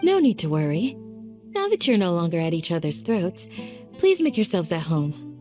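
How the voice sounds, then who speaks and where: A woman voicing a young village guardian in a game, calm, courteous and firm, with polite, measured phrasing. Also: fairly low pitch, soft background music. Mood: composed.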